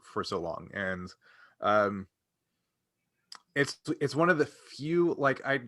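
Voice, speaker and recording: A man co-hosting a casual fan discussion, thinking aloud in a halting way and circling back over phrases. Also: medium pitch, sharp tone, no room sound, clean studio sound. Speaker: podcast co-host.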